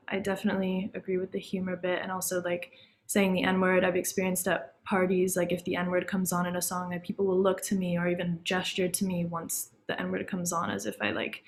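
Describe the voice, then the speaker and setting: A woman's voice: on the low side, slight background noise. A teenage girl speaking hesitantly and thoughtfully as a student panelist over a video call. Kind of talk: casual panel discussion.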